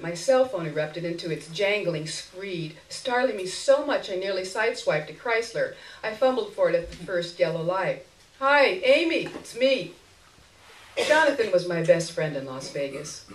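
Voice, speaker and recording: A woman reading literary fiction aloud at a live event, expressively and with wry, deliberate phrasing. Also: on the low side. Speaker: story reader. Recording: echoey room, miked from a distance.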